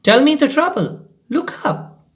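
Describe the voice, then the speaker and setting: A man's voice: extremely high pitch, sing-song, measured pace, voice pushed up, exaggerated voice. A man voicing a stern, urgent schoolteacher in a storybook reading. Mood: concerned, insistent. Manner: urgent, questioning, commanding. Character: stern schoolteacher, turning from sharp to frightened.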